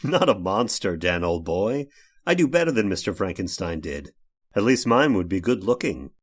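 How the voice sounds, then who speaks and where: Genteel, halting inventor, low swooping voice. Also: male voice, sing-song, a little hiss or hum. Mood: musing, intrigued. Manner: genteel, halting, polite, rambling. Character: courteous, ailing, secretive inventor of artificial limbs confessing. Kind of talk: old time radio horror drama acting.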